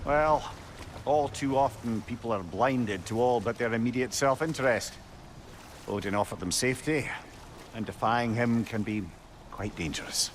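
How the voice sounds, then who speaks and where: An actor playing a chatty, learned older Scottish sage in a video game, wordy and droll in manner. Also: male voice, medium pitch, moderate pace, slight background noise, close to an everyday voice. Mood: wry, cautioning. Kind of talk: video game dramatic acting.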